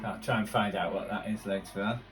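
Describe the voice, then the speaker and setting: Low-voiced man rambling, mic at a distance. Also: fairly even intonation, quick pace, loud music behind the voice. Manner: rambling, hesitant, thinking aloud. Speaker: shortwave radio hobbyist presenter. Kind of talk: casual unscripted commentary while tuning a radio. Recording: distant mic with radio audio behind.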